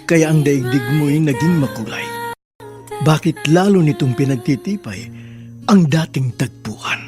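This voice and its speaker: Guy hosting a radio drama, dramatic n formal, voice a bit high, music playing behind. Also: unhurried. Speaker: radio drama host and narrator. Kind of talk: scripted radio drama narration. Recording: music under the voice.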